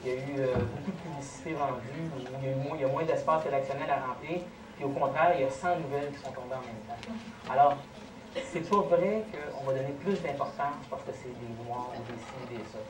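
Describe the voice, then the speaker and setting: A man with a Québécois accent, answering audience questions in a measured, explanatory way as a guest speaker at a lecture. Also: mid-range voice. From Quebec. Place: college lecture room. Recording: echoey, noisy college room, distant mic.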